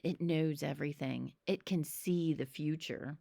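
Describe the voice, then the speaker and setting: A woman voicing a sly, mysterious boy showman with a dramatic, deliberate delivery, in a children's storybook reading. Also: deep voice, vocal fry, mellow, no echo, studio quality, voice pushed down. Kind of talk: children's storybook read-aloud.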